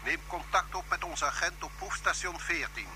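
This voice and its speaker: An actor playing an obedient base radio operator in a radio play, clipped and dutiful. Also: male voice, fairly high pitch, normal speed, a crowd in the background, natural voice. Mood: dutiful, brisk. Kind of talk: radio drama acting.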